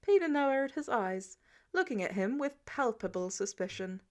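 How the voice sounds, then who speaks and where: A woman's voice: on the high side, big pitch swings, normal speed. A woman narrating fiction as a scripted audiobook reading.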